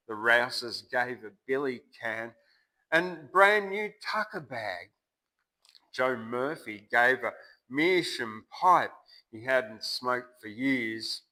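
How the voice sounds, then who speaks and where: An Australian man reciting a bush ballad theatrically, swinging between loud emphasis and hushed line endings. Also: mid-range voice, low-quality recording. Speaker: poetry reciter and channel host.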